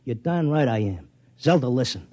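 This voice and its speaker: An actor playing a brash, slangy racetrack tipster who also narrates, in a 1950s radio drama. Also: male voice, mid-range voice, expressive, normal speed, lo-fi sound, heavy background noise, lightly acted. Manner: streetwise and slangy. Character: brash fast-talking racetrack tipster, middle-aged man. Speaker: narrator and lead.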